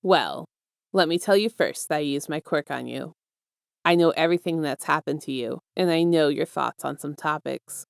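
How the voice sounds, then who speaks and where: A woman voicing a smug, affectionate male villain mastermind with a soft, teasing, self-assured manner in an audio story. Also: deep voice, moderate pace, vocal fry, clear, resonant tone, no room sound, clean studio sound. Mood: reassuring.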